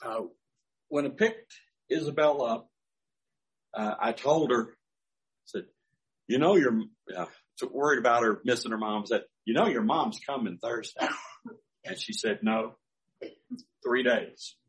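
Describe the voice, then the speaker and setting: A man's voice: mid-range voice, unhurried, long pauses, low-quality recording. An older man, a pastor, preaching a sermon through folksy personal stories with chuckling asides.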